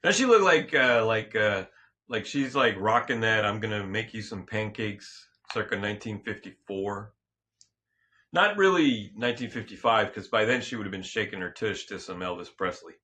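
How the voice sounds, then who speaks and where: Hoarse, bright-toned man riffing on sports. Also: on the low side, lively intonation, normal speed. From California. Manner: rambling, joking, self-correcting, frequent restarts. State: slightly hoarse. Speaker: sports talk show host. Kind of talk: casual solo sports and politics chat.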